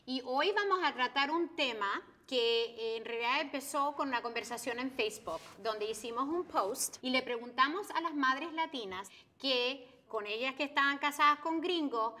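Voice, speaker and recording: Chilean woman co-host, high-ish bright voice, chatty, drops english words. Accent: Chilean Spanish. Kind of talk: casual chat show.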